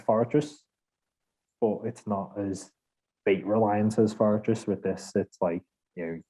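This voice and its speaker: Mellow man, rambling gamer chat. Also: lowish voice, poor audio. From Liverpool, England. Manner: rambling, laughs a lot, self-deprecating. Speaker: podcast panelist. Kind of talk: casual gaming chat.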